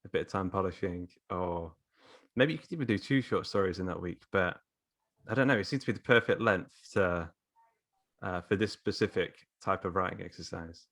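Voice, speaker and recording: Man, podcast guest, casual chat, bright forceful tone, dry sound. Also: deep voice, normal speed, no room sound.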